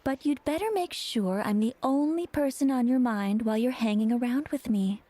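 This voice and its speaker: Self-assured, sly perfume seller for a game, played by a woman: coy, teasing, warm rounded tone. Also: a little high, no room sound, lightly acted. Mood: flirtatious. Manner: coy, teasing, drawn-out phrasing. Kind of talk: video game character dialogue.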